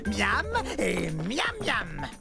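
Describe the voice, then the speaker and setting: Toothy cartoon predator: excitable and theatrical, slow, drawn-out phrasing over music. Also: female voice, medium pitch, vocal fry, heavily acted. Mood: gleeful, showy. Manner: theatrical, exclaiming, talking to viewer. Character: excitable, showy, toothy carnivorous dinosaur. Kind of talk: children's educational cartoon narration. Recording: music behind the voice.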